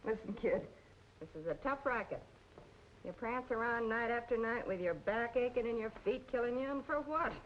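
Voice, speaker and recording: A woman's voice: a little high, animated, moderate pace, a little hiss or hum, natural voice. A woman playing a tough but kindly, world-weary nightclub worker, blunt and slangy, in old film noir style. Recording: dull vintage film audio, music behind.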